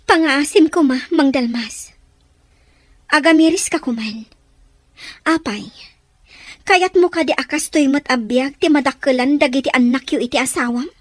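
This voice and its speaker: A woman's voice: sits high, moderate pace, long pauses, no room sound, clean studio sound, lightly acted. A woman playing an earnest, defiant young daughter who pleads, in an amateur drama. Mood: pleading, reproachful. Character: earnest defiant daughter pleading against her father's raids. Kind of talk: amateur drama dialogue in Ilocano. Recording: outdoor recording with faint noise, birdsong.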